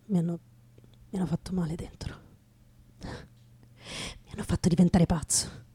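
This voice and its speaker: A woman playing a weary, bitter working man in a radio theatre monologue, husky and breathy, muttering haltingly and often dropping to a whisper. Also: lowish voice, fairly even intonation, warm tone. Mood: bitter, anguished. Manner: halting, repeating words, muttering.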